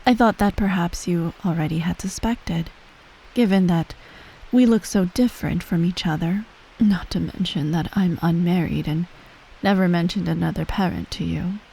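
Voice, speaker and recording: A woman playing a menacing, coolly doting empress mother in soft, breathy ASMR roleplay, measured and formal, often near a whisper. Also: lowish voice, poor audio. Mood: coolly reassuring. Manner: measured, formal, veiled threats, breathy sighs.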